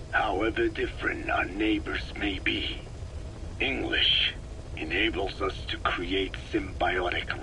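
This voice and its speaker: An actor playing an elderly, solemn Navajo sage in a video game, speaking formally in a hushed, breathy rasp. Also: male voice, low-pitched, low-quality recording. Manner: slow, grave, measured, formal phrasing. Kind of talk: video game voice acting, philosophical monologue.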